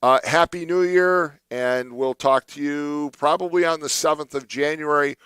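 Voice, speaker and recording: A man's voice: a little high, steady tone, normal speed, fairly bright tone, clear, resonant tone, no echo. A man hosting a morning radio show, chatting casually and laughing often with his co-host. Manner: chatty, laughs often, does playful mock voices. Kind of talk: casual studio banter. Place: radio studio.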